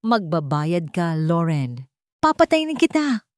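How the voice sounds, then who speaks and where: A woman's voice: fairly low pitch, very animated, slow pace, no room sound. Woman playing a villainous husband, Filipino accent, menacing, controlling, commanding, dry audiobook sound. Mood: furious. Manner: commanding, threatening.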